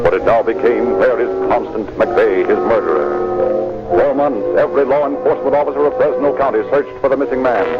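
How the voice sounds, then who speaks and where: A man's voice: mid-range voice, lightly acted. A man with an American accent narrating a radio crime drama in a solemn, grandiose, emphatic style. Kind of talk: dramatic scripted narration, old-time radio. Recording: dull old radio recording, loud music.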